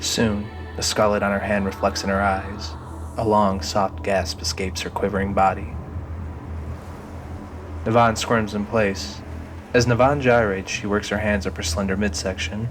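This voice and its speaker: A man's voice: on the low side, level tone, measured pace, music in the background. A man narrating a scripted audio drama.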